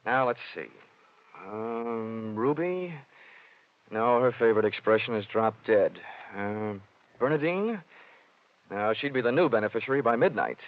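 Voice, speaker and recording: A man's voice: mid-range voice, expressive, unhurried. A man playing a cocky, tough, wisecracking insurance investigator in a radio detective drama, speaking in a wry, slangy way. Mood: musing, flippant. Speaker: lead actor. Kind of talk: 1940s-style radio detective acting. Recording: dull lo-fi radio sound.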